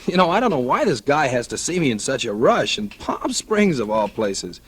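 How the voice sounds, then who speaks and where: A scheming American husband in a vintage radio thriller. He talks in casual, slangy, calculating style at medium pitch, with faint noise on the recording. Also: very animated, moderate pace, a bit bright. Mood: falsely solicitous. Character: smooth-talking, scheming husband plotting murder. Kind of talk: 1940s radio acting. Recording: noisy old radio recording.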